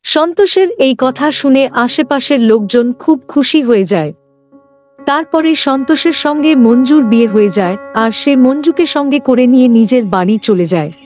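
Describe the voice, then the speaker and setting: A woman's voice: high-pitched, lively intonation, moderate pace, a little mellow, muffled recording, soft background music. A woman reading scripted narration for a cartoon folk story.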